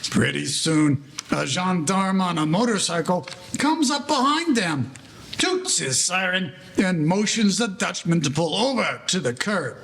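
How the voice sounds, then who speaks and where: A man's voice: high-pitched, animated, unhurried, vocal fry, a bit bright, a little hiss or hum. A man narrating a scripted story as the storyteller of a 1940s-style radio play.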